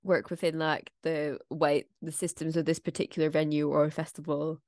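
A woman's voice: sits low, flat delivery, normal speed, darkish tone, no room sound, clean studio sound. A woman co-hosting a casual podcast, a theatre maker talking through her plans in a thoughtful, explaining way. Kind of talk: casual chat.

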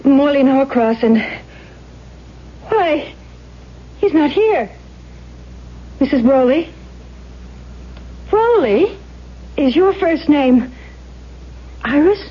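A woman's voice: sits high, animated, very slow, pauses often, exaggerated voice. A woman playing an impressionable, inquisitive young aspiring actress in a 1970s radio drama, with an earnest, theatrical delivery. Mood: insistent. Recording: dull, noisy vintage radio recording.

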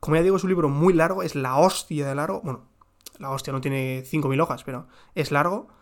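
A young man with a slightly high voice talks through his favourite books at home. He jokes and swears casually, and a little room echo clings to his voice. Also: brisk. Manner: joking, slangy, swears casually. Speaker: book reviewer. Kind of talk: casual book recommendations. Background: construction work next door.

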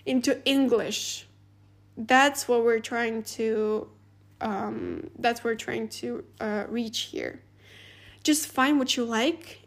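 High-voiced woman. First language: Russian. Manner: chatty, animated, laughs often, many "like" fillers. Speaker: podcast host. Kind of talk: casual advice monologue.

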